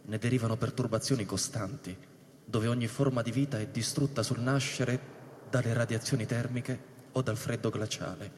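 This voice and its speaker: A man narrating a scripted radio play in a measured, expository manner. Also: medium pitch, fairly even intonation, a little mellow. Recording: long reverb, faint ambient noise behind.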